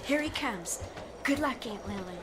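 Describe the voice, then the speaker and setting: Lady playing eager bossy teen girl in old radio show, super animated, really noisy live sound. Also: on the high side, moderate pace, natural voice. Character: bossy, eager teenage niece playing matchmaker. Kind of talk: old-time radio comedy acting. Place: small theater stage, live performance. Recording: very noisy live stage recording.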